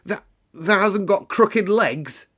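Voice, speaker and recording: A man voicing a shaken, tender old gardener in a storybook, hoarse and halting. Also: high-pitched, animated, a bit bright, pitched above the performer's own voice, full character voice. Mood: shaken, astonished. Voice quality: hoarse, shaky, quavering. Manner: halting, rustic dialect. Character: astonished, tactless old gardener, tender underneath.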